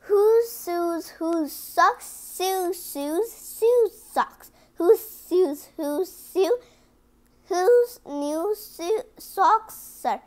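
A woman hosting a children's picture book read-aloud, reading deliberately word by word and chatting to her listeners. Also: extremely high pitch, expressive.

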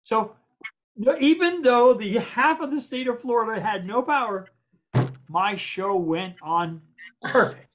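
An older man, a producer and co-host, telling an emphatic, animated story over a video call. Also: sits high, a little slow. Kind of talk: casual storytelling. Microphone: video call webcam. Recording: dull lo-fi video call audio.

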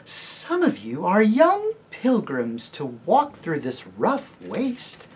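A woman's voice: fairly low pitch, huge pitch swings, slow pace, darkish tone, slight background noise, pitched above the performer's own voice, heavily acted. A woman voicing a gracious noble lady on horseback who trills her R's and speaks in lilting, old-fashioned courtly phrases. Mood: sweet greeting. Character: sweet-voiced enchanting lady on horseback, grand and gracious.

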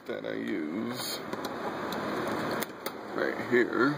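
A man's voice: fairly low pitch, animated, drawn-out words, vocal fry. A young man casually walking through his cluttered garage workshop, listing his fan collection in an offhand way with many fillers, filmed on a handheld phone. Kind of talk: casual handheld walkthrough narration. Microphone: handheld phone camera. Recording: loud background hum and room noise.

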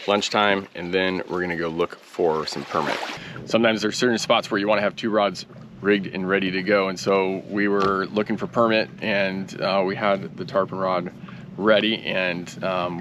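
A man's voice: low voice, background noise. A man in his thirties hosting a fishing vlog from a small boat outdoors, talking casually into a close action camera with fillers and easy laughs. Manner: relaxed, chatty, with fillers and quick laughs. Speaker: fly fishing guide and host. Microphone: action camera held close. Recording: outdoor camera audio with music bed. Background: background music.

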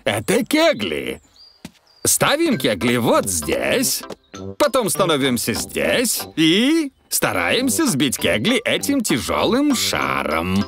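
A man's voice: mid-range voice, wildly swooping pitch, slow, fairly bright tone, soft background music, heavily acted. A man voicing a jolly, good-natured father pig in a children's cartoon dub. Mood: helpful.

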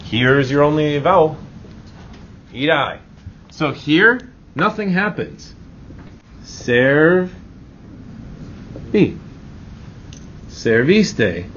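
A man's voice: on the high side, big pitch swings, very slow, pauses often, a bit bright. Casual male teacher on muffled, noisy audio. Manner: casual, reassuring, checks in with rhetorical questions. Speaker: Spanish teacher. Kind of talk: informal classroom grammar lesson. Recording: dull lo-fi audio, clear background noise.

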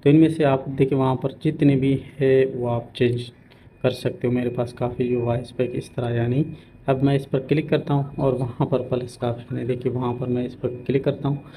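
A man hosting a gaming tutorial, casually explaining each step in a halting, repetitive way. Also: medium pitch, level tone, dark tone, low-quality recording. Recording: noticeable background noise.

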